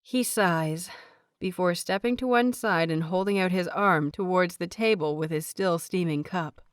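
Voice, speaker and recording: A woman's voice: lowish voice, unhurried, clear, resonant tone, dry recording. Woman narrating a story, close dry sound. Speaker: audiobook reader of fan fiction.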